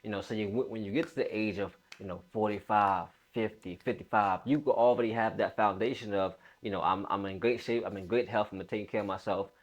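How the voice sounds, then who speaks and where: Low-voiced, emphatic young man. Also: fairly even intonation, brisk, hardly any pauses, lo-fi sound. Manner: emphatic, repeats phrases, informal bro slang. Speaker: fitness coach. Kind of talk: casual motivational talk to camera.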